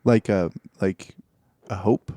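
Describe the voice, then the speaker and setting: A man's voice: on the low side, slow, dark tone, dry recording. A man co-hosting a podcast with casual comedic banter. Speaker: podcast co-host.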